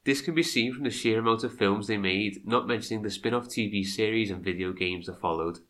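A man with a fairly low, bright and forward voice, stuffed up with a cold. He co-hosts a podcast, reading history from a script and dropping into casual asides. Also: a little fast. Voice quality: congested, nasal from a cold. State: has a cold. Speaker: podcast co-host.

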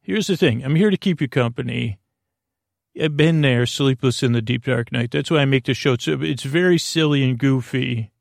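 A man hosting a sleep podcast, wandering through a silly, halting bedtime monologue full of restarts and asides. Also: medium pitch, gravelly, no room sound, studio quality. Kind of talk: rambling, meandering bedtime monologue.

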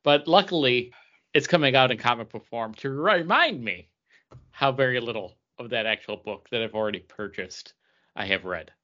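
A man co-hosting a comic book podcast in casual chat, with halting, stop-and-start phrasing. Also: medium pitch, animated, bright, forceful tone, dull audio. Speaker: podcast co-host.

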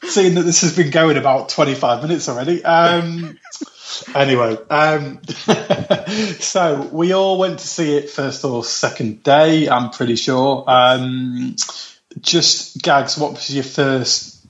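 Man, podcast host and quizmaster, steady delivery, dull lo-fi audio. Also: on the high side, slow pace, slight background noise. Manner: steady, asks questions and keeps score. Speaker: podcast host running a quiz. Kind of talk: casual podcast chat.

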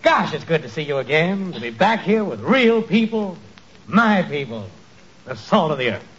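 A man's voice: fairly high pitch, very animated, normal speed, fairly bright tone. An actor playing an effusive, wheedling, falsely chummy male con man in 1940s radio comedy style. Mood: gushing, falsely chummy. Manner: effusive, theatrical, ingratiating. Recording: muffled, hissy 1940s radio recording.

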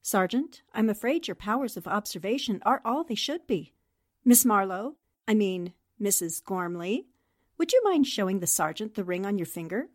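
A woman playing a poised, sharp reporter. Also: mid-range voice, lively intonation, normal speed, natural voice. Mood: confident. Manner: poised, precise, explains deductions. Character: sharp-witted female newspaper reporter. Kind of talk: amateur audio drama acting.

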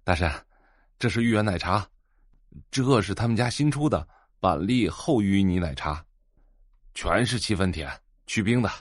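An actor playing an eager, fawning young computer shop owner in an audio drama, with an excitable, ingratiating manner. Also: male voice, on the low side, normal speed, no echo, clean studio sound. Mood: eager, obliging. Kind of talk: Chinese audio drama acting.

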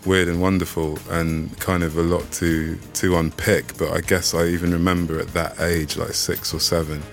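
A man who grew up in Austria, speaking as an interview guest, reflective and halting with many restarts. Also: low-pitched, level tone, gravelly, soft background music. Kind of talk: casual conversational interview.

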